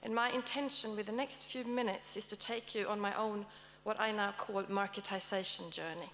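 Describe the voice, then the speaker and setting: A woman's voice: medium pitch, steady tone, moderate pace, fairly bright tone. Swedish-accented woman in a reverberant hall. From Sweden. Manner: checks in with the audience often, with many fillers. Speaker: session moderator and academic speaker. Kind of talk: conference session introduction. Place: conference hall. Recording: long hall reverb, faint hum.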